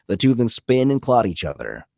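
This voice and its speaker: Male table-read narrator: raspy, punchy, lively pitch swings, dry close sound. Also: fairly quick, no echo. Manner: vivid, punchy reading of action. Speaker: narrator reading stage directions.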